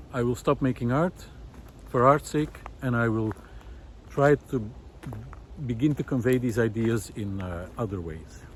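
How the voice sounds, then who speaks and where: A man delivers a reflective, unscripted vlog monologue in a mid-pitched voice. His phrasing is earnest and halting, with frequent hesitations as he thinks aloud. He is recorded outdoors in a park, with noticeable ambient and vehicle noise behind him. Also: darkish tone. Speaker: vlogger, former visual artist.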